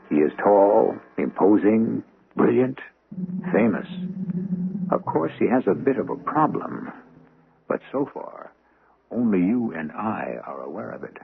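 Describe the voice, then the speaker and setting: A man giving theatrical, scripted narration as host of a radio mystery, wry and urbane with deliberate phrasing. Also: lowish voice, gravelly. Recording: thin, narrow-band old radio broadcast. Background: music.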